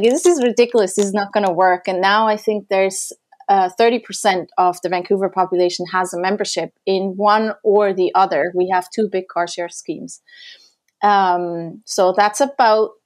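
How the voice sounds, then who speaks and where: A middle-aged woman from Switzerland telling her story in a chatty way on a casual panel conversation, laughing often. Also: medium pitch. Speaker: transport organisation founder.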